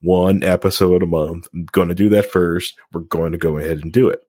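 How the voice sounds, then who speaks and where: A man's voice: low voice, steady tone, a little fast, mellow. A man hosting a podcast in casual group banter, rambling along and poking fun at himself. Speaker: podcast host.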